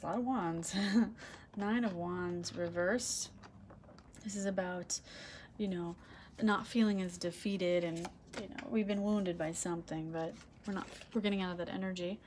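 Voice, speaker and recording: Soft-spoken woman with a husky, fading voice. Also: fairly even intonation, measured pace, slight background noise. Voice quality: low, husky voice. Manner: soft-spoken, keeps checking in with "okay?". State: losing her voice, clearing throat. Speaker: tarot reader. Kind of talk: casual tarot reading to camera. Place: indoor room, red curtains and candles on a table. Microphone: broken microphone, quiet.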